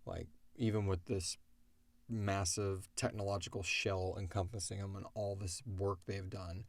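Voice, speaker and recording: Low, gravelly man chatting casually. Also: flat delivery, unhurried, no room sound. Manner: rambling, conversational, filler "like". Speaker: show creator answering listener questions. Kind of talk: casual Q&A discussion.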